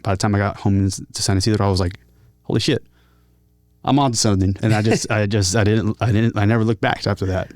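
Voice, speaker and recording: A man telling personal stories casually as an interview guest, in a halting, earnest way with repeated words. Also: lowish voice, steady tone, darkish tone, no echo. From San Diego, California. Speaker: interview guest, talkbox musician and rapper.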